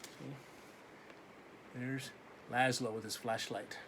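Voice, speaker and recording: A man's voice: mid-range voice, little pitch movement, slow pace, creaky, fairly bright tone, a little hiss or hum, poor audio. A man reading a picture book aloud as a teacher, with simple asides to his young listeners.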